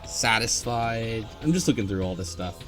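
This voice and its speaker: A man's voice: lowish voice, lively intonation, normal speed, a bit bright, faint music behind. A man hosting a casual group discussion of a game, rambling as he thinks aloud. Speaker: podcast host.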